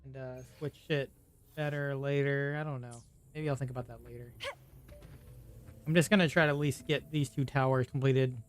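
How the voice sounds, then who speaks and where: A man streaming a game, giving casual commentary and thinking aloud in a low-key way. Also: fairly even intonation, moderate pace, slight background noise. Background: faint game music.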